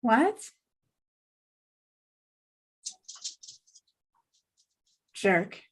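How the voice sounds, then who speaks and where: A woman's voice: on the high side, big pitch swings, extremely slow, full character voice. A woman playing a flustered, sheepish young waitress in a script table read, awkward and defensive.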